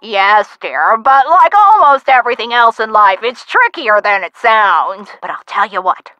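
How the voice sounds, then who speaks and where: Feisty, animated old gal. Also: female voice, a little high, unhurried, dry recording, highs cut off. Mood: spirited, affectionate. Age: older. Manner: theatrical, folksy old-time slang. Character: feisty old woman, a gangster's chatty former sweetheart. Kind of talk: video game character dialogue.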